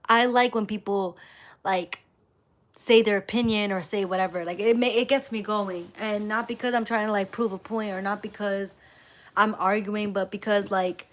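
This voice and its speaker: A woman's voice: medium pitch, a bit bright. A woman chatting casually to viewers on a motivational livestream, speaking emphatically and repeating phrases for emphasis. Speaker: live streamer.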